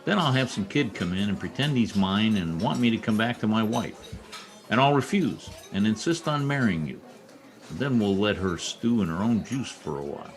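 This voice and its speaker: A man's voice: on the low side, animated, normal speed. A man acting a wry, scheming newspaper reporter in an old-time radio comedy, with a theatrical delivery. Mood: conspiratorial.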